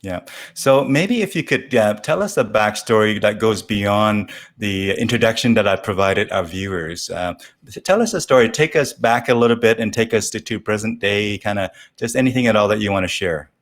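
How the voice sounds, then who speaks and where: A man hosting an interview show, speaking in a halting, measured way as he addresses his guest. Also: lowish voice. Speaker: talk show host.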